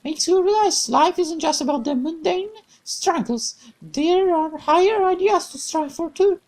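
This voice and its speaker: A woman's voice: extremely high pitch, measured pace, a little mellow, pitched above the performer's own voice. A woman voicing a bubbly, cheerful young woman companion in a visual novel, with a raised, playful, exclamatory voice. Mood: gentle.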